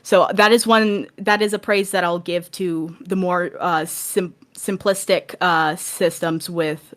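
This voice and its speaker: A woman guest on a podcast, chatting casually about tabletop games and thinking aloud in a halting way. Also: on the low side, fairly even intonation, a bit bright.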